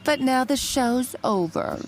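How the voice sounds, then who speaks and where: A woman's voice: sits high, expressive, takes it slowly, slight background noise, rough recording. A woman playing a smug, domineering female villain in a video game, taunting and theatrical with gleeful cruelty. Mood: cold menace. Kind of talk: animated video game voice acting.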